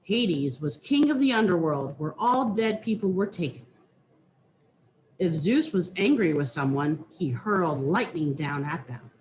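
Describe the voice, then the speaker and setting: A woman reading a children's nonfiction book aloud with deliberate, teacherly phrasing. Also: lowish voice, animated, a little hiss or hum, poor audio. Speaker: reader.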